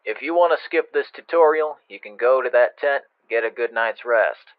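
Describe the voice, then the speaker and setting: A man's voice: mid-range voice, normal speed, smooth, no echo, narrow, tinny audio. A man playing an impatient, sardonic military instructor who gives casual game tutorial directions over a radio. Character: impatient, wry male military training instructor. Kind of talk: video game tutorial narration. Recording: thin, dry radio-like band-limited sound.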